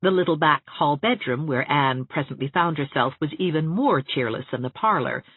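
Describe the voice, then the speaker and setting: A woman narrating an audiobook in an expressive, scripted storytelling style. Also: low-pitched, husky, no room sound, phone-quality sound.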